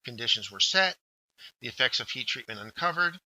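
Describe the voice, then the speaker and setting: A man narrates a scripted workshop lesson in a very bright, forward voice at a middle pitch. He speaks directly to learners and takes them through instructions one step at a time. The sound is dry and close, with very little room around it. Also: lively intonation, a little fast, no echo, low-quality recording.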